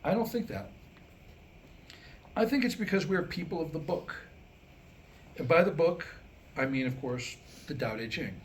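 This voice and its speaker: A middle-aged man performs a comedic monologue to a live audience, with a voice that sits a little high and swings widely in pitch. He moves between one-liners and storytelling. The live recording carries some faint room noise behind him. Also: lively intonation, normal speed, long pauses. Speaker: playwright performing a staged reading.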